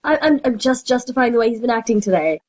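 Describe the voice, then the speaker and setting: A woman's voice: a little high, animated, fast talker, darkish tone. A woman playing a frank, supportive young friend in a comedic audio play, casual in delivery, slightly muffled sound.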